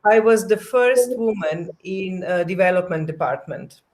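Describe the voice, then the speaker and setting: Woman, slow pace, careful halting phrasing, personal recollection. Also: on the low side, lively intonation, darkish tone. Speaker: roundtable panelist.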